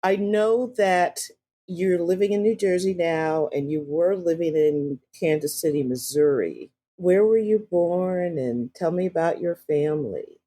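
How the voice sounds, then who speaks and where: A woman hosting a podcast, speaking in an earnest, reflective and gently enthusiastic way. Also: on the low side, measured pace. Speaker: podcast host. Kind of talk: conversational podcast interview.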